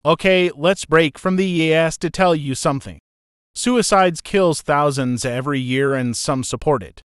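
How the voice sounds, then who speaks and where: A man's voice: a little high, moderate pace, a bit bright, clean tone, dry recording, clean studio sound. A man speaking directly to the viewer in a scripted public service message.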